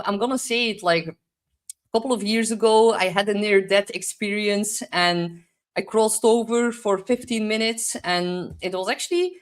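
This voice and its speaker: A young woman with a Dutch accent gives a rambling, casual astrology forecast, full of "like" fillers, sometimes searching for English words. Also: mid-range voice. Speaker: astrologer host.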